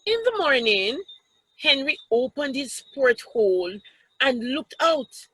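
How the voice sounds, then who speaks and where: A woman with a Jamaican accent reading a story aloud to children, expressive and teacherly, with asides to the listeners. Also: sits high, slow, husky, no room sound, poor audio.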